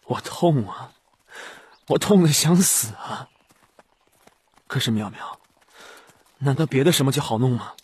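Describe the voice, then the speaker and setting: A man's voice: medium pitch, lively intonation, unhurried, pauses often, husky, no room sound, voice pushed up. A man voicing a weary, stubborn young Taoist, earnest and grim, in an audiobook. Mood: bitter.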